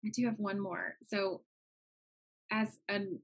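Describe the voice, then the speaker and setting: A woman's voice: mid-range voice, steady tone, slow pace, breathy edge. A woman co-hosting a podcast, working her way loosely through a long interview question. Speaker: podcast co-host.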